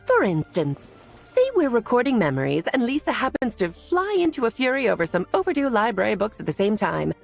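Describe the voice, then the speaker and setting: An elegant game sorceress, with a highish, very lively voice. She is whimsical and self-assured, speaking in a breezy, genteel, teasing way over faint music. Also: fairly quick, creaky. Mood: confident. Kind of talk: anime game voice acting.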